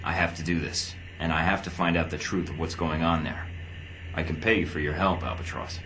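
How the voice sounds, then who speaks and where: An actor playing a young American secret agent who is cool, dry, understated and determined. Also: male voice, extremely low pitch, fairly quick, background noise. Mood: guarded.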